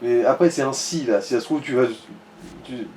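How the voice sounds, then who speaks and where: A man's voice: medium pitch, normal speed, mellow, a little hiss or hum. A man chatting casually and giving advice as a companion during a video game session. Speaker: let's play companion. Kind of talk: casual gameplay chat and advice. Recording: distant echoey mic, game music behind.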